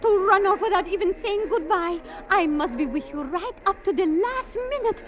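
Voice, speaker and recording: A woman's voice: very high voice, lively intonation, normal speed. An actress playing an urgent, secretive young foreign woman in a breathless, melodramatic old-time radio drama style. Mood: tearful, adoring. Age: young adult. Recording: muffled, noisy old radio recording.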